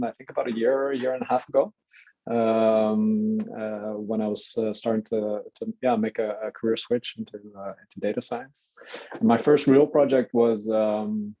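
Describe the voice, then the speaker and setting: Man from Lebanon, low mellow voice, hesitant and halting, lo-fi webinar audio. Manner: halting, many fillers and restarts. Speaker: guest, data science evangelist. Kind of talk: hesitant casual chat.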